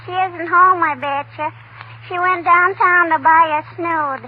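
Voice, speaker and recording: A woman's voice: extremely high pitch, steady tone, unhurried. An actress playing a naive, cheeky little neighbor girl, coaxing in a childlike way in an old-time radio comedy. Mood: cheeky, insistent. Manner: coaxing, childlike, with repeated "hmm" and "I bet you". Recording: tinny, slightly noisy vintage radio recording.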